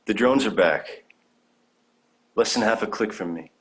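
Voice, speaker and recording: Actor as naive young alien. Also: male voice, on the low side, unhurried, lightly acted. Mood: anxious. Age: young adult. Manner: earnest, polite, wondering. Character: naive, eager young male alien traveller, earnest and bookish. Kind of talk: sci-fi audio drama reading.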